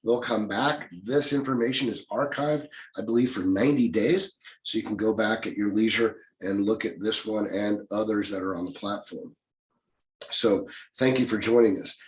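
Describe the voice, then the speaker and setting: Low-voiced folksy man teaching. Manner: folksy, encouraging, repeats "okay?". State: slightly raspy voice. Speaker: real estate investing teacher. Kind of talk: live online class, casual lecture. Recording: echoey room sound.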